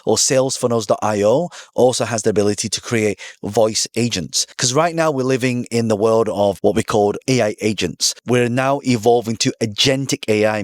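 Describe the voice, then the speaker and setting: A man's voice: mid-range voice, dry recording, studio quality. A man hosting his channel, explaining things to camera in a casual, conversational way and answering his own questions. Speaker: business coach and channel host.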